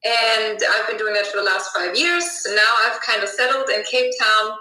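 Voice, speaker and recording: Woman chatting on a podcast, really bright voice, lots of ums, echoey room. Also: a little high, moderate pace, soft background music. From Germany. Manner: chatty, many ums and restarts. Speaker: guest hypnotherapist. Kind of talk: casual podcast chat.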